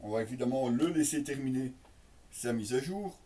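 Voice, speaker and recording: A man's voice: medium pitch, lively intonation, moderate pace, dark tone, recorded from a distance. A man casually presenting an unboxing, explaining as he goes in an improvised, hesitant way and speaking directly to viewers. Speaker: product reviewer and presenter.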